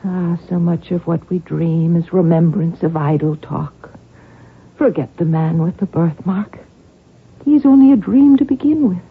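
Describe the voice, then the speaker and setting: An actress playing a devout, earnest young noblewoman, measured and theatrical, narrating and acting in a radio drama. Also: lowish voice, phone-quality sound, a little hiss or hum. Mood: soothing. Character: devout, earnest young Irish noblewoman telling her family's story. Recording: band-limited old radio with music.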